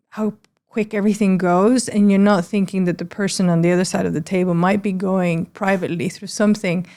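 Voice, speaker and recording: A woman's voice: mid-range voice, mellow. A woman from Spain speaking as an interview guest, candid, reflective and sincere. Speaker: interview guest, PR agency general manager.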